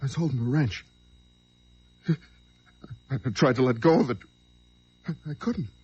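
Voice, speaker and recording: An actor playing a desperate, brooding fugitive killer in an old-time radio crime drama, given to overwrought outbursts. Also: male voice, medium pitch, normal speed, a little mellow, breathy edge. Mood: brooding, tense. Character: desperate fugitive man who killed a truck driver. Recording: dull, noisy old radio recording.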